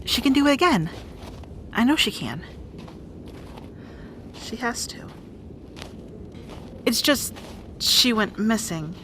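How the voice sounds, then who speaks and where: A woman's voice: on the high side, big pitch swings, takes it slowly, pauses often, fairly bright tone, slight background noise, heavily acted, rough recording. A woman playing a bossy, guarded young rat princess in an audio roleplay drama, with exasperated, wry asides. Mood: guarded, explaining.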